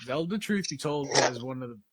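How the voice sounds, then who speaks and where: A man's voice: medium pitch, animated, brisk, slightly rough, no echo. A man chatting casually as a panelist on a group podcast. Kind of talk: casual chat.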